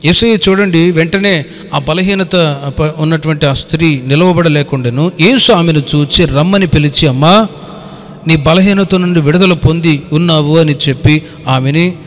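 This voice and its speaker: Male pastor, slightly high-pitched, exhorting colloquially in a Bible study, mixing in English words; echoing hall recording. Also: a little hiss or hum. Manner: colloquial, exhorting, with rhetorical questions; code-mixes English words into speech. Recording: echoey hall with long reverb.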